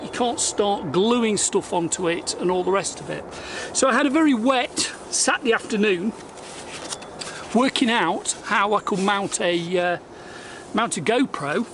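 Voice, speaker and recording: A man's voice: sits high, gravelly, roomy sound, slight background noise. A man in his forties talking through a casual do-it-yourself vlog, rambling with lots of fillers and restarts, recorded on a camera mic both outdoors by a lake and in a kitchen. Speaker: vlogger.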